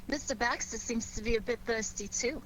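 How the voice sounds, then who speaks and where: A woman plays a sleuth's American lady companion in a 1940s radio drama, affectionate and curious. Her voice is on the high side and playful, heard through a noisy vintage recording. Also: brisk, a bit bright, natural voice.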